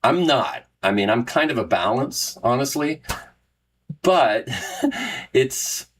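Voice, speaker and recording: A man's voice: mid-range voice, lively intonation, slow pace, a bit bright, a little hiss or hum. A middle-aged man hosting a casual talk show, who rambles, restarts often and laughs at himself. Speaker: podcast host and Renaissance fair performer. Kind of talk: casual solo chat with live listeners.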